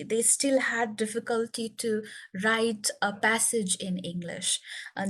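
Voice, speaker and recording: A woman's voice: a little high, low-quality recording. A young woman from Nepal with a Nepali accent, native speaker of Newari, earnestly sharing her English learning story with many fillers. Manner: earnest, explanatory, frequent uh and um fillers. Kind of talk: prepared personal talk to learners.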